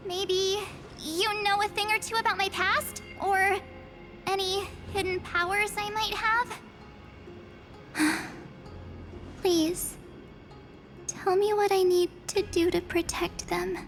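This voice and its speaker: A woman's voice: steady tone, slow, long pauses, a bit bright, soft background music, exaggerated voice. An actress voicing an earnest young heroine who is worried for her friends, speaking in an emphatic, pleading way. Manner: pleading and emphatic, voice rising high. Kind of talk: video game cutscene voice acting.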